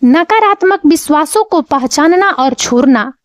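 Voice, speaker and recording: A woman narrating a scripted guided meditation, with measured, soothing instructions. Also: sits high, animated, dry recording, clean studio sound.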